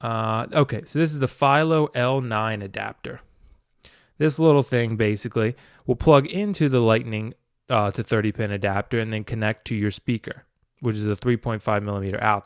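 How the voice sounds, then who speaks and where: Clear-voiced man giving a casual walkthrough. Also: fairly low pitch, brisk, no echo, studio quality. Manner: informal, rambling, talking through a demonstration. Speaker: video tutorial host, hobbyist. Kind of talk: casual unscripted product walkthrough.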